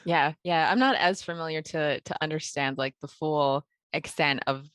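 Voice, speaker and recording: A woman from Pennsylvania, a podcast guest, explaining her work in a measured way with soft laughs. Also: deep voice, fairly even intonation, no echo. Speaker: podcast guest, food project founder. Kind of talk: interview conversation.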